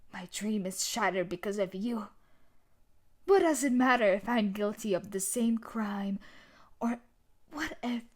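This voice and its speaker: A woman performing a dramatic audio roleplay as a tough yandere bully turned desperate, with intense emotional delivery and a breathy voice that often sinks toward whispers. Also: mid-range voice. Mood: bitter, accusing. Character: tough sadistic yandere bully wife, now desperate and remorseful.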